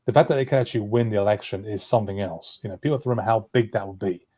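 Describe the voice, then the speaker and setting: A man's voice: fairly low pitch, racing, dark tone, slightly rough. A man giving political commentary as a livestream guest, talking on with asides and self-corrections. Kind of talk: political discussion.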